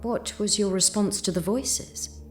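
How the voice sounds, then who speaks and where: An actress playing a concerned, gentle questioner in a solemn audio drama, probing carefully. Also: female voice, mid-range voice, measured pace, soft background music, lightly acted.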